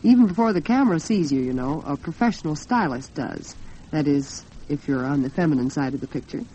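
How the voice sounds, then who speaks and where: A woman's voice: low-pitched, fairly quick, dark tone. An American woman hosting a 1940s radio talk program, speaking conversationally and straight to her listeners. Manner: conversational, addresses listeners directly. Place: radio studio. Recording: muffled, noisy 1940s radio broadcast recording.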